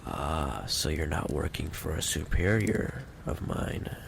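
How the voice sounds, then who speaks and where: A man playing a menacing, possessive mafia boss in an ASMR roleplay monologue, with a low, gravelly voice and a deliberate, coolly condescending delivery. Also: animated, noisy background, full character voice. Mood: teasing menace.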